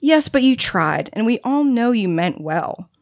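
A woman voicing a patient female carer who coaxes and soothes a volatile child, explaining things deliberately in a narrated story. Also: medium pitch, huge pitch swings, dry recording, clean studio sound, pitched above the performer's own voice. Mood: gentle, insistent.

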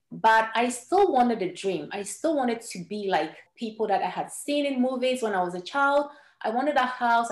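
A woman from Ghana, a native Ewe speaker, talking as a guest on a podcast. Her mid-pitched voice has an earnest tone and small restarts. The room around her sounds echoey. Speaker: guest entrepreneur. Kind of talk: podcast interview storytelling.

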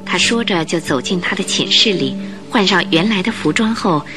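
Animated woman narrator over music. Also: on the low side, moderate pace. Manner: expressive storyteller delivery. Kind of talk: scripted storytelling narration for radio drama.

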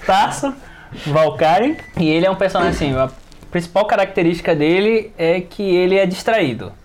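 A man's voice: high-pitched, expressive, moderate pace. A man talking informally on an RPG podcast, often repeating phrases and adding short tag questions. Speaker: RPG podcast participant and player. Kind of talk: casual chat.

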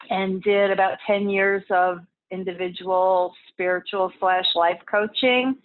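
A woman from Texas, a podcast guest who founded an institute, talking casually and chattily over the phone. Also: mid-range voice. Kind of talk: casual interview talk. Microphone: phone line. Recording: tinny phone line.

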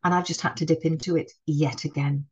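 A woman's voice: low voice, steady tone, fairly quick, breathy edge, muffled recording. A middle-aged head teacher from the Northeast of England with a Northeastern accent, speaking reflectively in a podcast interview, often restarting her sentences. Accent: Northeast English. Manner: warm, reflective, frequent restarts.

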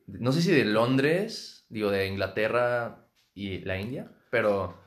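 A Mexican man hosting a film podcast, chatting in a relaxed, joking, stop-start way. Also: lowish voice, takes it slowly. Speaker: podcast host. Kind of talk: casual chat.

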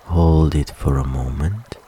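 Very deep, slow male meditation guide. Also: mellow, a little hiss or hum. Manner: soothing, unhurried, with long pauses. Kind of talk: guided relaxation meditation, soft scripted instruction.

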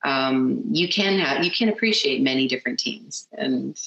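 Middle-aged female interview guest, Canadian accent, low-pitched for a woman, hesitant casual chat, roomy sound. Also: lively intonation, normal speed, gravelly, a bit bright, dull audio. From Edmonton, Canada. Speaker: interview guest, sports artist.

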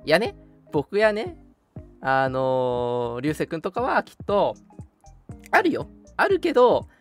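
A man's voice: fairly high pitch, wildly swooping pitch, slow pace. A young adult man hosting a casual radio chat, reflective and teasing in his manner. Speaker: radio show host. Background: background music.

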